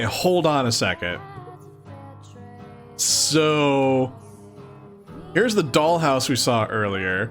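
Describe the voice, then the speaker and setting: A playful, chatty man reading a webcomic aloud and commenting casually on a livestream, often giggly and thinking out loud. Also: medium pitch. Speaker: streamer.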